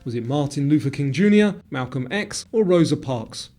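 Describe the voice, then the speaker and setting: A man's voice: a little high, animated, moderate pace, dark tone. A man reading scripted quiz questions and their multiple-choice answers as a narrator. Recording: voice-over with music underneath.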